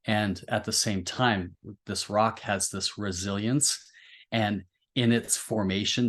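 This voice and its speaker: Man, podcast discussion, slow delivery, reflective, halting roundabout phrasing, slightly low pitch. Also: fairly bright tone. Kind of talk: thoughtful literary discussion.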